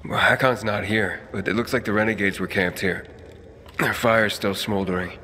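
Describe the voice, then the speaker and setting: An actor playing an earnest, determined younger wanderer in a dramatic video game cutscene, insistent and questioning. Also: male voice, fairly low pitch, fairly even intonation, moderate pace, pushed and bright, slight background noise. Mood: wary. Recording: music behind the voice.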